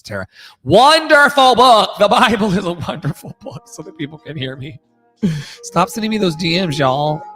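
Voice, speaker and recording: A man's voice: fairly high pitch, a bit bright. A man who sings and hosts a live audio show, chatting casually in an effusive, rambling, slangy and profane way. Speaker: singer hosting a live audio room show. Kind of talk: casual, rambling livestream chat.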